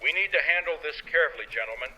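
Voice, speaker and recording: An actor playing an urgent, grave president in an audio drama, heard as if over a transmission. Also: male voice, fairly high pitch, animated, rapid-fire, pushed and bright, exaggerated voice, rough recording.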